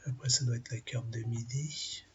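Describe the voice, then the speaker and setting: A man with a cold and a French accent giving an informal weather briefing, halting and full of fillers as he talks through maps. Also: mid-range voice, level tone, highs cut off, slight background noise. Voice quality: gravelly, husky. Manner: halting, many fillers, thinking aloud over weather maps. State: has a cold, clears throat. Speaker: amateur weather forecaster.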